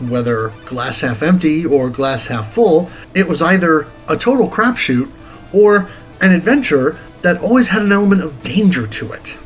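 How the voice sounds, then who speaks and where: A man of forty reading a scripted retro game review as host, in a deliberate, articulate, wordy way. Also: a little high, rough recording. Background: music.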